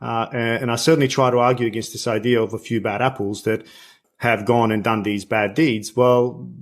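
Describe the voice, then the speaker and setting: A man from Bosnia hosting an interview, speaking hesitantly with frequent restarts and filler sounds. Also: mid-range voice. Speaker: podcast host. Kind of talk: conversational interview.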